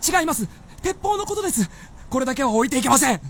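A woman's voice: sits high, sing-song, quick pace, a little hiss or hum. A woman acting as a hot-headed, naive young hunter boy in a radio drama, blustering and stammering in rustic boyish speech. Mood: panicked, pleading.

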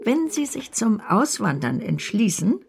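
An actress playing a brisk, kindhearted older aunt in a German radio drama, decisive and matter-of-fact. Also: female voice, medium pitch, sing-song, vocal fry. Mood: brisk generosity.

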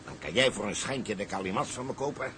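An actor playing a determined, brash sea captain in a Dutch radio drama, speaking emphatically. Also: male voice, lowish voice, animated, quick pace, background noise, lightly acted.

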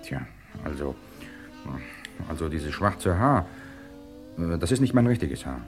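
An actor playing an earnest, middle-aged film star hero in a 1950s German radio drama. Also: male voice, deep voice, sing-song, unhurried, faint music behind, full character voice. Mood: sheepish, hesitant.

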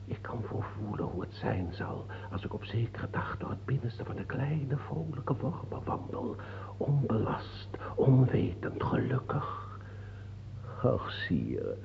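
A man acting in a radio play as an old imprisoned bishop, mocking and melancholic, declamatory and given to breathy murmurs. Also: medium pitch, very animated, unhurried, whispered. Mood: rapturous, morbid. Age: older. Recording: dull old radio recording, hiss and hum.

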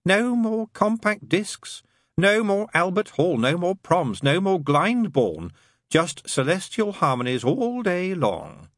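A man's voice: high-pitched, sing-song, takes it slowly, fairly bright tone, no echo, studio quality, pitched above the performer's own voice, full character voice. A man voicing a glum, sardonic demon who needles and persuades in colloquial, emphatic lists, in an audiobook story. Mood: triumphant, taunting.

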